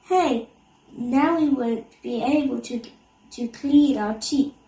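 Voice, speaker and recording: A woman's voice: sits high, slow pace, mellow. A woman voicing a puzzled, curious young girl in a children's story, questioning and protesting. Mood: doubtful. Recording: echoey room, distant mic.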